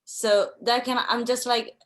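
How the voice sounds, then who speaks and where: A woman from Chile asking a question from the audience, speaking haltingly with many fillers. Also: fairly high pitch, flat delivery. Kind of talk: conversational question.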